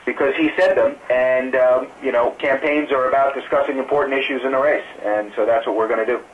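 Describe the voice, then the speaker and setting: Campaign spokesman, male, slightly low voice, careful and halting, on a narrow phone line. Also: fairly even intonation. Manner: halting, careful, hedging delivery. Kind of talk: press conference call, answering questions off the cuff. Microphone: telephone conference call. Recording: muffled narrow phone line, distant, some hiss.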